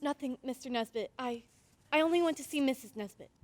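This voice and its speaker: Woman playing a high-voiced, plucky little girl. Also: a little fast, background noise, close to an everyday voice. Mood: feigned innocence. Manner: earnest, artless, probing. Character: plucky, inquisitive little girl, feigning innocence. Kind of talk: old-time radio drama acting with first-person narration.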